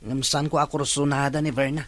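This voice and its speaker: A performer acts out a regretful young man torn over love in an Ilocano radio drama. The voice is medium in pitch, with an Ilocano accent. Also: level tone, fast talker, no echo, natural voice. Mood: regretful, defensive.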